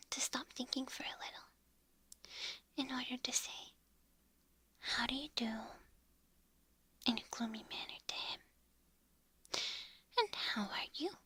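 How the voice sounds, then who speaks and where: High breathy whispering woman. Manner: soft whispering with frequent giggles. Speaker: storybook reader. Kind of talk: ASMR whispered storybook reading. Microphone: on camera from the book up.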